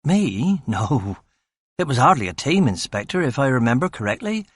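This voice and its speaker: A man voicing an eager, talkative young male police constable, speaking in a brisk, breezy way. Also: mid-range voice, big pitch swings, husky, no room sound.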